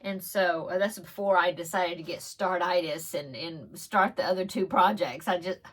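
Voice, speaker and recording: A woman's voice: on the low side, normal speed, fairly bright tone. A woman hosting a crochet podcast, chatting casually and giggling between rambling asides, her nose stuffed up on one side. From Texas. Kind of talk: casual show-and-tell chat.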